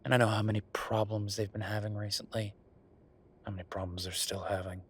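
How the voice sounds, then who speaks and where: A man playing an easygoing, affectionate werewolf bureaucrat in an intimate boyfriend roleplay audio, talking casually and haltingly with a husky voice. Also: on the low side, little pitch movement, a little hiss or hum, lightly acted. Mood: weary, reassuring.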